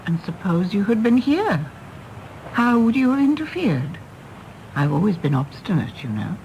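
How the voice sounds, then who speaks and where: Wistful wry english lady in a radio play, bit of creak, faint noise behind. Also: mid-range voice, sing-song, a little mellow, lo-fi sound. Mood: reflective. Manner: measured, genteel, wry. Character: wistful, wry middle-aged Englishwoman, once lovelorn mother. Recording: faint background noise and ambience.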